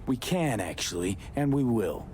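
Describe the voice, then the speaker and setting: A man's voice: medium pitch, sing-song, normal speed, darkish tone, a little hiss or hum, natural voice. An actor playing a tough male street mercenary in a futuristic video game, terse and blunt in his delivery. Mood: threatening. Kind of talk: naturalistic video game acting.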